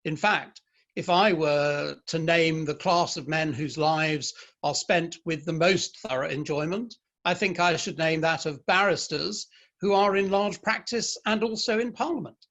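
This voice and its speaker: A proud, stern Victorian father and man of letters, acted by a man in a play reading. His voice is notably high for a man. He speaks formally and emphatically, with firm opinions. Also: a little slow, fairly bright tone. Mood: earnest, advising.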